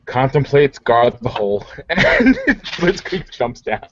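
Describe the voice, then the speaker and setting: Man, animated pitch, casual wry gamer banter, roleplaying player, dull lo-fi online chat audio. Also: slow. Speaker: tabletop game player.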